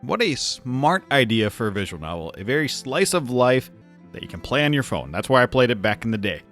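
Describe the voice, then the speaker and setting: A man's voice: medium pitch, big pitch swings, a little fast. A man hosting a game review video in an informal, chatty, lightly joking way. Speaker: video host reviewing games. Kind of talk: casual scripted video commentary. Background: background music.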